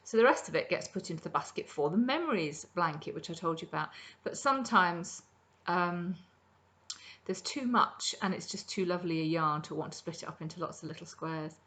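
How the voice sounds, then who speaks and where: A woman's voice: fairly low pitch, a little fast, a bit bright. A middle-aged woman hosting a crafting podcast from her kitchen, chatting casually about her projects with plenty of ums. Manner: chatty and rambling, many ums. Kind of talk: casual show-and-tell chat. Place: home kitchen, indoors.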